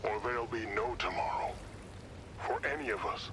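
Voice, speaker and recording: Man acting a low-voiced solemn guide. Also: moderate pace, close to an everyday voice. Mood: grave, pleading. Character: solemn, worried male guide, loyal ally. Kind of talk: video game cutscene acting. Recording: noisy ambience and vehicle rumble.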